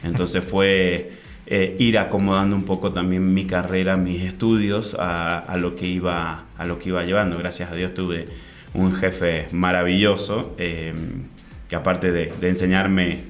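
A man from Chile, raised in Argentina, talking as a winemaker guest in an interview, hesitant with many fillers and restarts. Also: low-pitched.

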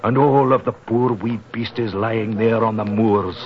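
A man's voice: on the low side, steady tone, measured pace, crowd noise, close to an everyday voice. An actor playing a grim, superstitious Scottish village innkeeper in a 1940s radio drama, ominous but deferential in his talk. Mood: ominous, insinuating. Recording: dull 1940s radio recording.

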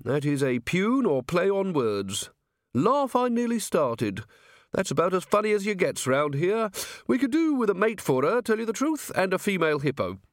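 High, cackling old yard keeper. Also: male voice, sing-song, normal speed, no room sound, clean studio sound. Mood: chatty. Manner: folksy, rambling, archaic dialect. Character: cackling old rustic male yard keeper, chatty, grumbling.

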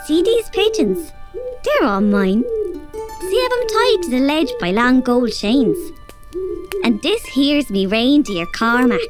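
A woman's voice: high-pitched, sing-song, slow pace, music in the background, full character voice. An actress with an Irish accent playing a tough, bossy robber girl who also narrates. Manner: bossy, threatening, blunt. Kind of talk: audio drama acting.

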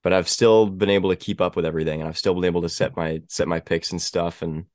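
Stuffy young man with a deep voice. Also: flat delivery, darkish tone, no echo, studio quality. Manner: halting, many restarts and fillers. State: stuffy head cold. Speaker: podcast host. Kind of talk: casual sports chat.

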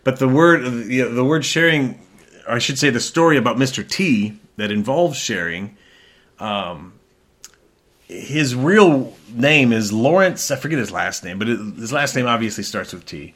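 Male host, bright and forceful, chatty and rambling, keeps self-correcting. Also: medium pitch, expressive, moderate pace. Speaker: podcast host. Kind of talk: casual chat.

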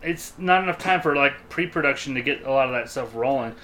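A man's voice: mid-range voice, animated, brisk, pushed and bright, a little hiss or hum. A man co-hosting a podcast, talking casually in a group discussion. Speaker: podcast co-host.

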